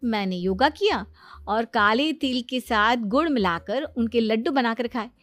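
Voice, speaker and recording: A woman's voice: on the high side, expressive, unhurried, a bit bright, no echo. A woman voicing a boastful young daughter-in-law in a cartoon, eager, insistent and self-assured. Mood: eager, confident. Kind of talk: exaggerated cartoon family-drama acting.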